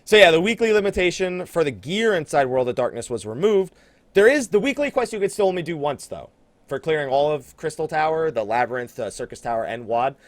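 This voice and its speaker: A man with a slightly high, very expressive voice hosts a casual gaming podcast. He banters and teases in a lively, conversational style, recorded close and dry with no room sound. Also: fairly quick, no echo. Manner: animated, teasing banter with co-host. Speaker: podcast host.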